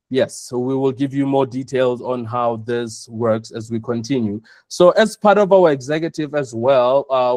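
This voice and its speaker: A South African man hosts an online webinar over a video call. His delivery is measured and he repeats key points for emphasis. The sound is dry and close, with a mid-range voice. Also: mid-range voice, darkish tone, dry recording. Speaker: webinar host, operations chief.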